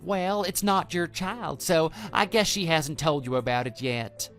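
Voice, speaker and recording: A man's voice: on the high side, unhurried, fairly bright tone, faint music behind. A man playing a smug, brazen lover who talks down to a betrayed husband in an audio drama. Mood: gloating. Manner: smug, condescending, dismissive. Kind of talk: scripted texting-story radio drama.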